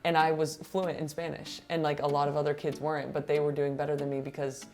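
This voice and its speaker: Woman guest, low voice, reflective and earnest; faint music behind. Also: little pitch movement, fast talker, darkish tone. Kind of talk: casual interview chat. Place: restaurant.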